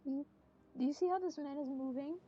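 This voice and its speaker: A woman's voice: fast, dark tone, highs cut off, a little hiss or hum. A young woman chatting casually as she plays a game, with slangy, teasing talk. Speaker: gamer playing a story quest. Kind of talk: casual chat over gameplay. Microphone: low-quality mic on an online voice call. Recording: low-quality mic with other voices behind.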